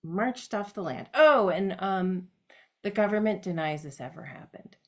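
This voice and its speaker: A woman's voice: medium pitch, expressive, unhurried. A woman performing a reflective autobiographical monologue on stage, speaking directly to her grandfather.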